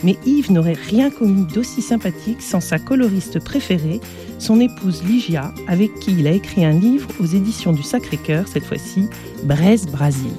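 A woman hosting a radio interview, chatty and quick to react. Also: medium pitch, lively intonation, over music. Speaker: radio host. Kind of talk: radio interview and scripted intro.

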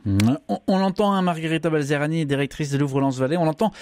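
A man hosting a live radio interview, asking questions in a measured way. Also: fairly high pitch, a little mellow, slight background noise. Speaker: radio host.

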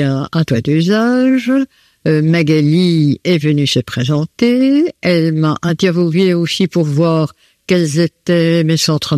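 A woman's voice: low-pitched, darkish tone, dry recording, clean studio sound. A woman answers interview questions at home in her living room, hesitant and full of fillers. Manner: slow and hesitant, many fillers. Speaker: host of students at home.

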